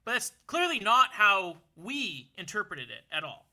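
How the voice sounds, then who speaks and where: A man's voice: high-pitched, big pitch swings, a little slow, bright, forceful tone. A young man from Canada co-hosting a casual political discussion, talking earnestly with many restarts and repeated words, his voice worn as if losing it. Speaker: podcast co-host.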